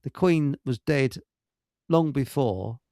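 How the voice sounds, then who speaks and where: A man's voice: medium pitch, expressive, slow pace, mellow, no room sound, studio quality. A man hosting a casual, rambling live radio chat, blunt and grumbling with mild British swearing. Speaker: radio show host.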